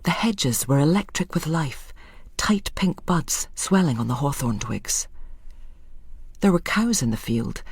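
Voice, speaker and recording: A woman's voice: low-pitched, breathy edge, no room sound. A woman narrating a literary story in a scripted, dramatic style.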